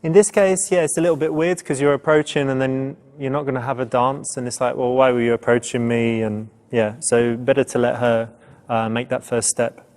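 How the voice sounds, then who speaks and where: A man's voice: medium pitch, little pitch movement, a little fast, a little mellow. A man speaking casually and explanatorily as a dating coach and presenter. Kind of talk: casual instructional commentary and acted conversation.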